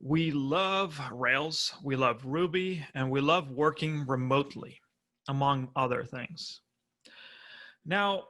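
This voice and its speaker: Bright-voiced Argentine man giving a talk. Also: on the high side. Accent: Argentine Spanish. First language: Spanish. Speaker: conference speaker. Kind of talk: prerecorded conference talk.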